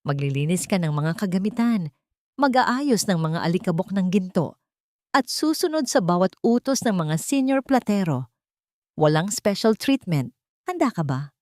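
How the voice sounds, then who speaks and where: A woman voicing a stern, exacting male boss in an audio drama, measured and commanding. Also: fairly low pitch, very animated, darkish tone, no room sound, clean studio sound.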